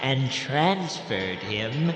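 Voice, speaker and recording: An actor playing a solemn storyteller, narrating a scripted radio drama. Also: male voice, medium pitch, big pitch swings, drawn-out words. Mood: ominous. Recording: echoey long reverb under music.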